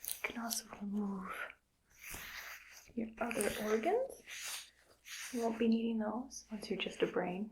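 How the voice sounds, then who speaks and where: A woman's voice: fairly high pitch, a little hiss or hum, rough recording. A woman whispering as a callous mad scientist. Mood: cold musing. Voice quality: soft whisper. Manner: slow, musing, coldly matter-of-fact. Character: callous female mad scientist harvesting brains. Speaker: ASMR roleplay performer. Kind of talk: ASMR roleplay. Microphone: close sensitive mic.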